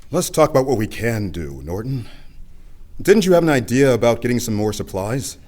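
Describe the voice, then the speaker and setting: A man's voice: mid-range voice, big pitch swings, moderate pace, darkish tone, natural voice. A man playing a practical officer keeping order in a radio play, firm and matter-of-fact. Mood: firm, reasonable. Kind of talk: full-cast radio play acting.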